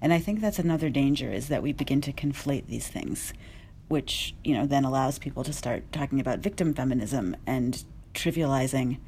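A woman journalist speaking earnestly on a discussion panel, measured and with small restarts. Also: a bass voice, warm tone, a little hiss or hum.

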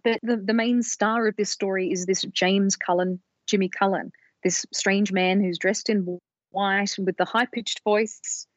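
A woman's voice: mid-range voice, fairly even intonation, measured pace, no echo. A woman co-hosting a podcast, carefully walking through her research in a casual, explanatory way with small restarts. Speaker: podcast co-host presenting research. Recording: dull lo-fi dry recording.

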